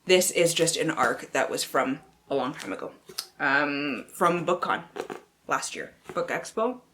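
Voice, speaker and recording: A woman's voice: low voice, measured pace, fairly bright tone, slight background noise. A woman book vlogger chatting casually and reading blurbs aloud in a playful, theatrical way, with slang and free swearing.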